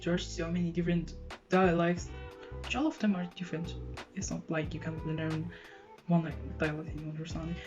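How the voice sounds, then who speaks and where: Teenage girl chatting, soft guitar behind. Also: lowish voice. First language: Persian. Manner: chatty, frequent fillers and restarts. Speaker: language vlogger. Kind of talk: casual talk to camera. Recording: dull lo-fi sound with soft guitar music.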